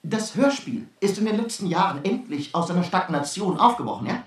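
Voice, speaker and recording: A woman's voice: mid-range voice, lively intonation, brisk, natural voice. Woman as a swooping, pompous theorist in echo. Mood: pompous, insistent. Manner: pompous, wordy. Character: earnest, pompous radio drama theorist lecturing. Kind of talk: mock academic radio play speech. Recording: echoey room, distant, faint noise.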